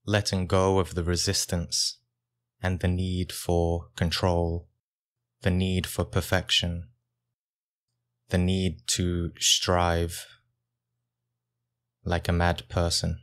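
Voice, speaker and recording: A man leading a guided meditation as a teacher, speaking calmly in short phrases with long pauses. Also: low-pitched.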